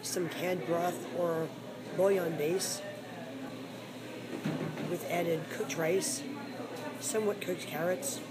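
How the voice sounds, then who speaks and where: A woman with a hoarse voice talks through her meal for her dining vlog, candid about what she likes and what she won't eat. Her voice sits slightly low. She was recorded inside a seafood restaurant, and loud background noise runs under her words. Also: fairly even intonation, slow, long pauses. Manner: chatty, frank about the food. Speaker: food vlogger. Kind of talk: casual restaurant review vlog.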